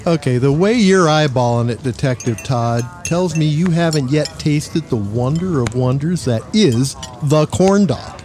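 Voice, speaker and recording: An actor playing a cocky, wisecracking reporter with a flippant, theatrical manner in a noir audio drama. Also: male voice, on the high side, expressive, a little slow, few pauses, a little mellow. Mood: wheedling, teasing. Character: wisecracking, cocky pulp newspaper and radio reporter.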